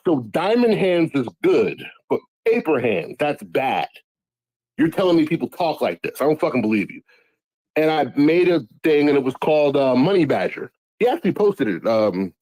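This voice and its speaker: A man's voice: a little high, darkish tone, no room sound, low-quality recording. A man from Guyana, a guest musician, telling stories in a sarcastic, profane, casual way. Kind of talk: casual chat on a live audio show.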